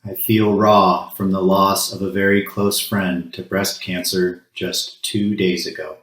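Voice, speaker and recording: A man with a low-pitched voice leads a solemn spoken ritual in a roomy, echoing space. His delivery is very flat and even, set out in short, measured phrases with pauses between them, as a worship leader would speak to a congregation.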